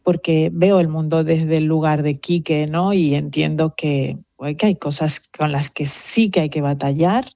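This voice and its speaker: A middle-aged woman with a Venezuelan accent, speaking thoughtfully as an interview guest, pausing with fillers as she reflects. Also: low voice, steady tone, mellow, no room sound, highs cut off. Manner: reflective, earnest, with fillers and restarts. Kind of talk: conversational interview.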